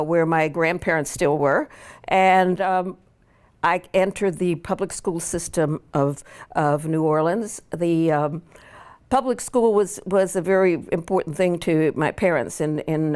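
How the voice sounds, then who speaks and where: An older woman, a law professor, recalling her life in an unhurried oral history interview, with frequent fillers and restarts. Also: fairly low pitch, darkish tone, clean tone. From Pittsburgh, Pennsylvania.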